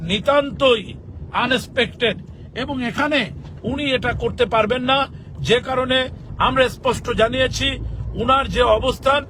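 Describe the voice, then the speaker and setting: A man with a Bangladeshi accent giving an emphatic political address to followers in halting short phrases. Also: very high voice, poor audio. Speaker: political activist and legal expert. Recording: loud outdoor urban traffic noise.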